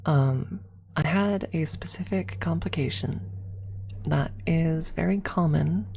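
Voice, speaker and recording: A woman telling personal stories as an ASMR streamer, casually chatting in a hushed, unhurried way with frequent pauses. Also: low-pitched, creaky, husky. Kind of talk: ASMR storytelling, casual chat.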